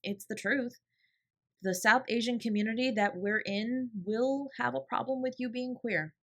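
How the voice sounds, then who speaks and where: A woman playing a protective, tender older sibling in an intimate audio roleplay, with a soft, breathy, reassuring delivery. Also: fairly high pitch, moderate pace. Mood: frank. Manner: gentle, reassuring, lightly teasing.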